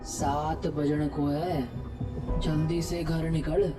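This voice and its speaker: A man's voice: fairly high pitch, level tone, drawn-out words, over loud music. A man playing a blunt, scolding old tea-stall woman in a horror audio drama. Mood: grumbling, scolding. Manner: crotchety, no-nonsense.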